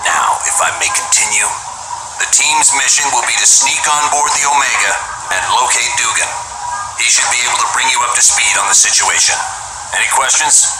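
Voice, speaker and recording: An actor playing a serious, authoritative colonel who gives clipped mission briefings in a video game. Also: male voice, mid-range voice, a little fast, lightly acted. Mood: commanding. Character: gruff, commanding male spy colonel briefing his team. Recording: echoey, with loud music underneath.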